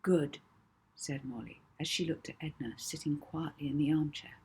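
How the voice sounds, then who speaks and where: A woman reading a children's book aloud as expressive audiobook narration. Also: deep voice, brisk, slightly rough. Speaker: author reading own book.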